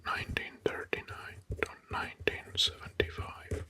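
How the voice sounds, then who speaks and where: A man narrating history as ASMR in a breathy, hushed whisper, slowly and deliberately, softly repeating words. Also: no echo.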